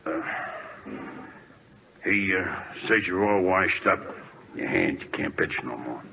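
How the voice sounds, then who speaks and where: A man with an American accent playing a folksy, gruff, loyal old-hand ballplayer in radio drama, slangy and plainspoken. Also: deep voice, expressive, slow, pushed and bright, full character voice. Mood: reluctant, sorrowful. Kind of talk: 1940s radio drama acting. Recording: dull old radio recording.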